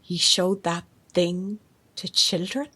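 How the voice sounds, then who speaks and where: A woman's voice: lowish voice, steady tone, slow pace, sharp tone, full character voice. Sardonic, defiant female reporter. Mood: scornful. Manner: sarcastic, confrontational, sweary. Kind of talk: audio drama dialogue.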